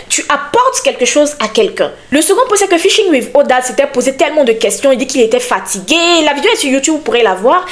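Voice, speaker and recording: A young woman hosts a casual podcast, talking directly to her audience. Her voice sits high. She stays animated and emphatic, pressing each point home. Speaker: podcast host and content creator.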